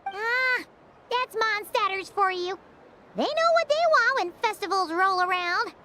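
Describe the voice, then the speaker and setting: A woman's voice: very high voice, expressive, unhurried, noisy background. A woman voicing a bubbly, eager, girlish festival guide in a video game, with a bossy friendliness. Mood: cheerful, eager. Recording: loud music under voice.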